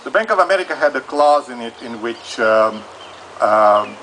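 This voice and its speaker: A man from Transylvania with a Hungarian accent, telling a long, halting legal story at a live law school event. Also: medium pitch, expressive, sharp tone, a little hiss or hum, poor audio. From Transylvania, Romania. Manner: long-winded, halting, with many fillers. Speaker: audience member asking a legal question. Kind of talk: live call-in style legal question.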